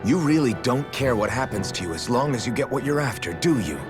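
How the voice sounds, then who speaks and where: An actor playing an earnest, questioning young slave fighter in a video game cutscene. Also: male voice, mid-range voice, expressive, fairly quick, lightly acted. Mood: concerned challenge. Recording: music behind.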